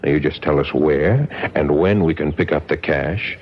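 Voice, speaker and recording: An actor playing an impatient, threatening gangster enforcer with slangy talk in a vintage radio drama. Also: male voice, a bass voice, normal speed, husky. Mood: impatient pressure. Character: menacing, impatient male gangster enforcer talking as an old pal. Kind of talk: 1970s radio drama acting. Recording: muffled old radio broadcast.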